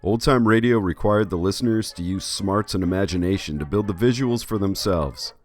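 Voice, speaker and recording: A man's voice: lowish voice, moderate pace. A man hosting an art video as an artist, with scripted but conversational narration. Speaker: host, artist.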